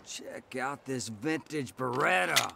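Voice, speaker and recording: A man's voice: medium pitch, expressive, takes it slowly, gravelly, background noise, full character voice. A man playing a defiant, cocky teenage delinquent in a radio drama, with tough, slangy talk. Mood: cocky, goading.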